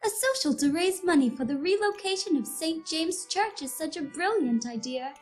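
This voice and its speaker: A woman's voice: extremely high pitch, expressive, moderate pace, darkish tone. An actress playing a polite, wide-eyed country woman of the 1910s in a nostalgic radio play, genteel and exclaiming. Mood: gracious.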